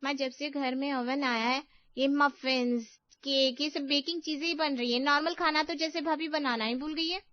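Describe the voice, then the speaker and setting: A woman's voice: sits high, steady tone, moderate pace, dry recording, lo-fi sound, lightly acted. A woman voicing a teasing, chirpy young college-girl sister-in-law in story dialogue. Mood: complaining.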